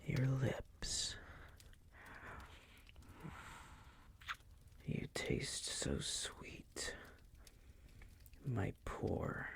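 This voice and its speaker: A man's voice: medium pitch, exaggerated voice. Man as a whispering, possessive vampire master. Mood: seductive, teasing. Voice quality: breathy, gravelly whisper. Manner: slow, crooning, teasing, possessive. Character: possessive male vampire noble master, seductive, doting. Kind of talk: intimate whispered ASMR roleplay.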